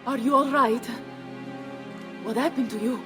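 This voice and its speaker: A woman's voice: on the high side, a little slow, music in the background, natural voice. An actress playing a fierce, proud noblewoman ruler in a video game, speaking in a commanding way. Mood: concerned. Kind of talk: video game cutscene acting.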